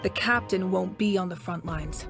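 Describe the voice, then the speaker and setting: Composed female war leader, measured, authoritative, video game dialogue, clear music behind. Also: lowish voice. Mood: composed, resolute. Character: calm, commanding female leader planning a battle. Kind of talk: scripted video game voice acting.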